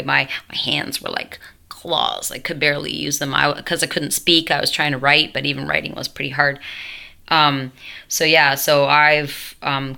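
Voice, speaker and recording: A woman's voice: low voice, vocal fry, sharp tone. A young woman vlogging at home, telling her story in a rambling, self-interrupting way full of fillers, her voice changed after a tracheotomy. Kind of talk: casual storytelling to camera.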